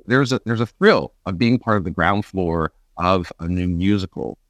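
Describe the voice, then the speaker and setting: A man hosts a conversational interview in a fairly low voice. He is agreeable and sympathetic, talking like a peer. The sound is dry and close, without room reflections. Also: moderate pace, a bit bright, no room sound. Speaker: interview host and actor.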